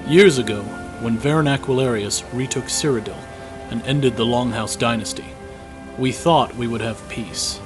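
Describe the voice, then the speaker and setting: Fantasy drama conscript, young man male actor: medium pitch, guilt-worn, reflective diary delivery, prominent musical score behind. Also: normal speed, over music, lightly acted. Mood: troubled. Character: weary, guilt-ridden young male imperial conscript soldier. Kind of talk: scripted fantasy audio drama journal narration.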